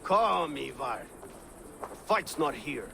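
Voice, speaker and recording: An actor playing a confident Viking war leader in a game cutscene, assured, hearty and commanding. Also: male voice, a little high, big pitch swings, slow pace, vocal fry, background noise, full character voice. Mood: stern. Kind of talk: video game cutscene acting.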